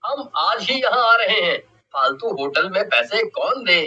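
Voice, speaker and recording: Man playing a fretful, high-voiced young husband. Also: big pitch swings, a little slow, sharp tone, breathy edge, lo-fi sound, exaggerated voice. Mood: grumbling. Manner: fretful, complaining. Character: worried, grumbling young husband. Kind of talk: animated horror story dialogue.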